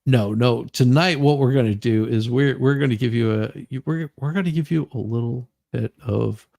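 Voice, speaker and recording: A man chatting casually as a livestream host, halting and often restarting his words. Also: mid-range voice, vocal fry, dark tone, no echo, studio quality. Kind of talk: casual chat.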